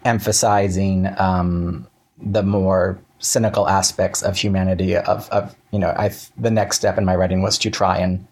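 A man being interviewed as a writer, chatting casually in a wry, halting way with self-interruptions and acted-out asides. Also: low-pitched, little pitch movement, darkish tone.

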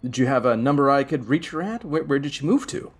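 A man putting on a courteous talent agent for an audio drama. He is businesslike and smooth as he bluffs, with a mid-pitched voice. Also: animated, fast. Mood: probing, flattering. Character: polite male talent agency caller, smoothly bluffing.

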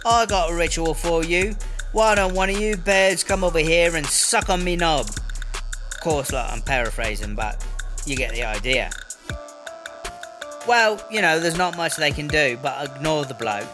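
A brash British inmate, played by a man rambles through slangy, sweary gossip in a fairly high voice. It is comedy audio drama, with music clearly playing behind the chatter. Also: expressive, measured pace. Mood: chatty, amused.

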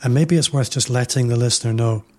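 A man hosting a podcast interview, talking in a reflective, measured way and building his points through repetition. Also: mid-range voice, a little mellow. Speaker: podcast host.

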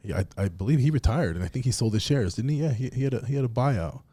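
A man hosting a podcast, chatting in a relaxed, casual way with frequent restarts. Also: mid-range voice, fast, slightly rough, no echo. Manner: relaxed, repeats words and restarts. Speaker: podcast host. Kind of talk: casual interview chat.

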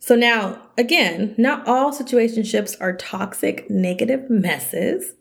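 A woman's voice: slow pace, gravelly, husky. A young woman hosting her own podcast as a self-worth coach, talking casually and chattily, her voice a little scratchy. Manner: chatty, animated, quotes others in higher voices. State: slightly scratchy voice. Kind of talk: casual solo podcast talk.

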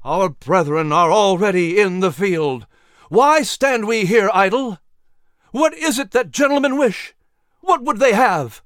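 A man voicing an impassioned patriot orator who rouses a hall, rising to shouts. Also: fairly high pitch, expressive, slow, clean tone, studio quality. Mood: rousing.

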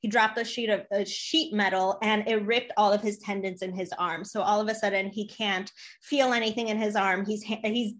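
A woman's voice: medium pitch, steady tone, normal speed, fairly bright tone. A young woman from Poland, a guest on a podcast, talking in a bubbly, giggly way. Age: young adult. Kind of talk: casual podcast interview.

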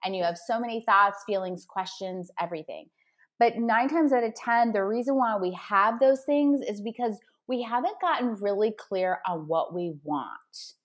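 A woman's voice: medium pitch, moderate pace. A woman talks as a podcast guest, coaching listeners with upbeat, motivational energy. She lays out her advice step by step, in a casual, conversational way.

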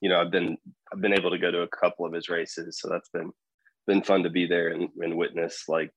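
A man answering interview questions in a relaxed, hesitant way, full of fillers and restarts. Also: low voice, fairly quick, muffled recording, a little hiss or hum. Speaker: podcast guest.

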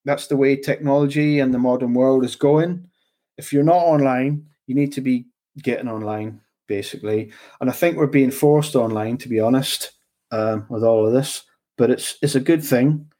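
Scottish guy just chatting away, keeps checking you're following, average pitch. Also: normal speed, mellow. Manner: rambling, repeats "do you know what I mean". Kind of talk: casual conversation.

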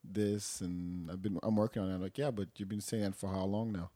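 A man's voice: sits low, level tone, fairly quick, warm tone, no echo. A man hosting a podcast, asking follow-up questions and giving short supportive replies. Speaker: podcast host. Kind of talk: casual interview.

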